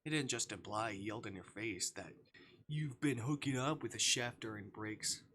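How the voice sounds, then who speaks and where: A man's voice: fairly low pitch, moderate pace, fairly bright tone, slight background noise. A man streaming, reading visual novel narration and dialogue aloud expressively, with occasional stumbles over the text.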